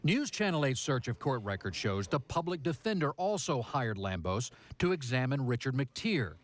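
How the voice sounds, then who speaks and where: A man's voice: medium pitch, sing-song, moderate pace. A man with an American accent reading a scripted television news report as a reporter.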